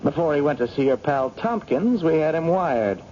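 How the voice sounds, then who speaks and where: An actor playing an assured government agent, laying out accusations in a measured, matter-of-fact radio drama style. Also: male voice, fairly high pitch, very animated, a little mellow. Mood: confident. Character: assured government agent man confronting a spy. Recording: dull lo-fi radio broadcast, faint noise.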